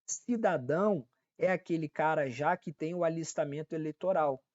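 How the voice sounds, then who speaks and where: A man's voice: on the high side, normal speed, no echo, dull audio. Brazilian man teaching law, casual. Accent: Brazilian Portuguese. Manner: casual, repetitive teaching with frequent check-ins and self-corrections. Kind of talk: online exam-prep lecture.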